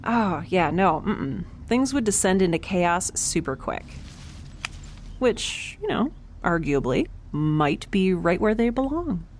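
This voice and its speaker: A quirky young scientist on a spaceship in an audio drama. She is chatty and self-deprecating, with a slightly low voice that swings expressively. Her dry sarcasm spills out in nervous rambles over light background noise. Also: big pitch swings, slow, darkish tone. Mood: amused, incredulous. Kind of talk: conversational audio drama acting.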